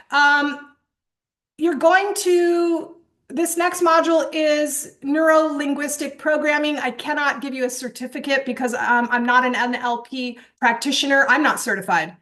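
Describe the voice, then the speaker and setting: High-voiced, animated woman coach. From born in Japan, grew up in England. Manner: animated, rambling storytelling with frequent fillers and restarts. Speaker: host and coach presenting a certification course. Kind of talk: enthusiastic webinar presentation.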